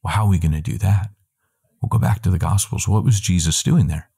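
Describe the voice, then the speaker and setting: A middle-aged man, a guest on a video call, giving a long, animated answer full of quick asides and self-corrections, heard through wired earbuds in a room at home. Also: low voice, creaky, breathy edge. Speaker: guest, church planting trainer.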